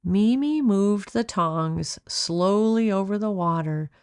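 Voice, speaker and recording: Lady reading a kids book out loud, slow and expressive, bit of creak in her voice, close dry sound. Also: mid-range voice, no echo. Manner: measured, expressive storytelling. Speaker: author reading own children's book aloud. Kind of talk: children's storybook read-aloud narration.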